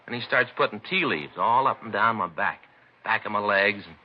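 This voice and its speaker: Radio play detective's partner: American, deep-toned, complaining family man, folksy and rambling, muffled old recording. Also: expressive, moderate pace. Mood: aggrieved. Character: grumbling, put-upon family-man police detective sidekick. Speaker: actor in radio drama. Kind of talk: 1950s radio acting, conversational dialogue. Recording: dull vintage radio recording, faint noise.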